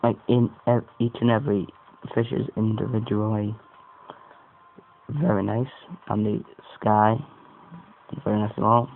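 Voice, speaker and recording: A man talks through a casual product review in a croaky, gravelly voice that sits fairly low. He is hesitant and rambling, making it up as he goes. The recording is narrow and phone-like, with a faint rumbling noise underneath. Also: little pitch movement, a little slow, pauses often. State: croaky voice today.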